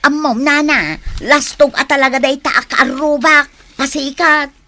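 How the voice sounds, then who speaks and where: Jealous catty housewife, high sharp voice, radio comedy drama. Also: female voice, a little slow. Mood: scornful. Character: envious, competitive housewife scorning her show-off neighbour.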